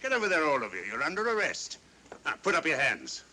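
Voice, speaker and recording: On a noisy old film recording, an urgent lawman. He barks commands in a slightly high voice that leaps up and down. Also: wildly swooping pitch, fairly quick. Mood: commanding. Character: commanding, urgent male lawman barking orders.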